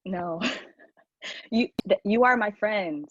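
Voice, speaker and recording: A woman co-hosting a casual podcast chat, animated and acting out quoted talk. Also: mid-range voice, takes it slowly, a little hiss or hum. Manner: animated, acts out quoted dialogue. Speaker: podcast co-host. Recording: dull lo-fi, dry sound.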